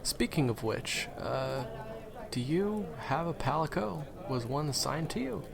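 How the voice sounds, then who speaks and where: A man playing a gentle, humble ex-hunter turned guide in an audio roleplay, speaking hesitantly and softly with frequent pauses. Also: a little high, lively intonation, creaky, a crowd in the background. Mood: friendly, wry. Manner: hesitant, soft-spoken, halting with pauses. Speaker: monster hunter's handler in a fan audio roleplay. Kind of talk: intimate conversational audio roleplay acting.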